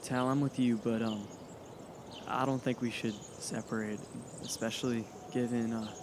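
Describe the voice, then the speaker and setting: A young man playing a curious, level-headed high school boy in an audio drama, talking casually and haltingly. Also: level tone, natural voice. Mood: calming, coaxing. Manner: hesitant, thoughtful, casual. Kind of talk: naturalistic audio drama acting. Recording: noisy outdoor recording with insects. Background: crickets, forest ambience.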